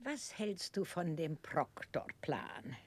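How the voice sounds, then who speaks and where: An actress playing a devoted, decisive older aunt in a 1960s radio drama, speaking tenderly and emphatically with a creaky voice. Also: female voice, low voice, big pitch swings, takes it slowly, heavily acted. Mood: pleased, amused. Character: devoted, generous, decisive aunt of a young man.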